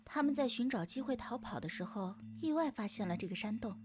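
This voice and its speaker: A woman's voice: a little high, expressive, fairly quick, noisy background, close to an everyday voice. A woman playing a composed young storyteller in a visual novel. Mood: calm storytelling. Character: composed young woman recounting her family's old legend. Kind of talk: visual novel character dialogue.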